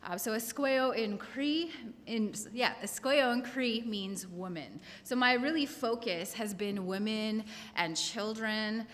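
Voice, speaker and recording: A woman from Saskatchewan presents at a conference in an earnest, measured way. Her voice sits somewhat high. The long reverb of a hall surrounds her words. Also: a bit bright. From Saskatchewan, Canada. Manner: earnest, measured, some hesitations. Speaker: conference panelist.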